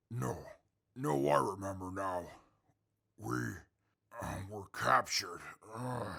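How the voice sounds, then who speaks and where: Casting: male actor as domineering wasteland prisoner, heavily creaky and raspy, halting, blunt and irritable, for audio drama. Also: mid-range voice, sharp tone, exaggerated voice. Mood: groggy, confused. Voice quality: very gravelly, raspy. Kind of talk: post-apocalyptic audio drama acting.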